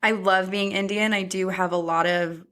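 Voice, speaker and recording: A woman in her thirties hosting a podcast, chatting in a reflective, halting way. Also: mid-range voice, fairly even intonation, a bit bright. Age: 30s. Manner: reflective, speaks in short halting phrases. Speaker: podcast host. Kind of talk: casual chat.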